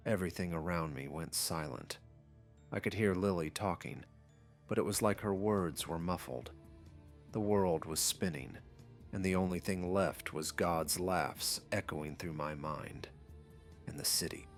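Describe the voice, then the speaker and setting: A man's voice: low voice, unhurried, faint music behind. A man reading scripted first-person narration for an anime audiobook.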